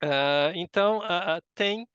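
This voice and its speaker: A middle-aged man with a Brazilian Portuguese accent giving thoughtful, halting answers as an interview guest. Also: high voice, fairly bright tone, clear, resonant tone, no echo, highs cut off. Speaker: interview guest, university professor. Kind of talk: conversational interview answers, reflective.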